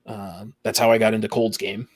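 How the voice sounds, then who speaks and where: A man's voice: fairly low pitch, little pitch movement, normal speed. A talkative man in a casual group chat, stammering and repeating the starts of his phrases. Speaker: tabletop game master, podcast guest.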